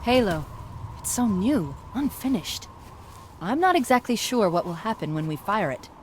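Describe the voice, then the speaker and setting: A woman's voice: medium pitch, expressive, moderate pace, a little hiss or hum, close to an everyday voice. A woman playing a composed, earnest female military AI companion in a video game. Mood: calm, focused.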